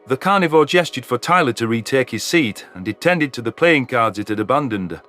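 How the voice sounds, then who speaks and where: A man delivers scripted first-person story narration in a mid-pitched voice. Faint music runs underneath him. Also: lively intonation, a little fast, a bit bright.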